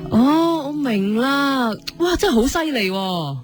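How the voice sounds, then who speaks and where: Wistful wife over clear music. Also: female voice, sits high, lively intonation, slow. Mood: curious. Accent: Hong Kong Cantonese. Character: unhappily married woman, curious, wistful, secretly smitten listener. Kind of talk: Cantonese radio drama acting with narration. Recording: radio drama with music underneath.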